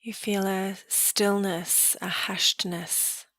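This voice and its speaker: Female meditation guide: very slow, even delivery, gentle and calm, soft phrase endings. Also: mid-range voice, dry recording.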